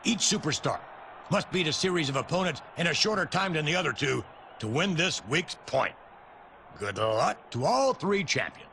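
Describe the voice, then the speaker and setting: A domineering wrestling company boss makes grand announcements in a video game. His pitch runs fairly high and swings widely, with theatrical emphasis. The recording has clear noise behind it. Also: animated, moderate pace. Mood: proud, formal. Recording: noisy game capture with background ambience.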